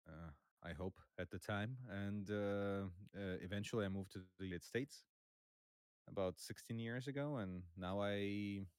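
A man who grew up in Russia, a guest chatting casually on a podcast about old games, talking with many pauses and fillers. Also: deep voice, a little mellow, clean tone, no echo, studio quality.

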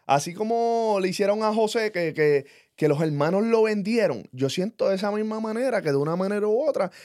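A man in his thirties with a Puerto Rican accent, co-hosting a podcast and talking in an earnest, reflective way, often pausing on fillers. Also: sits high, animated, darkish tone, no room sound, studio quality. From Puerto Rico. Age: 30s. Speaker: podcast co-host. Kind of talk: casual personal chat.

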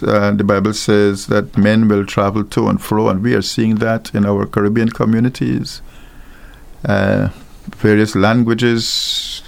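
Slow, gravelly older pastor from Guyana. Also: male voice, fairly low pitch. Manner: unhurried, rambling with many ums and small laughs. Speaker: pastor, radio guest. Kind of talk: unscripted radio talk.

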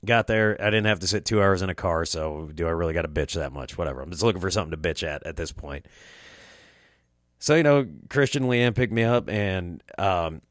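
A young man talks through a loose, profanity-laced story on his podcast, his throat scratchy from allergies. His voice sits fairly low. The room is dry, with no echo. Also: fairly quick. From Quad Cities area, US Midwest. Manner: profane, rambling, laid-back storytelling. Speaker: podcast host. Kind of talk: casual storytelling monologue.

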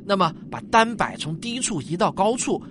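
A man's voice: high-pitched, animated, moderate pace, background noise. An actor playing a patient father in a science fiction radio drama, explaining things to a child in a coaxing, teacherly way. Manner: coaxing, teacherly, many softening particles.

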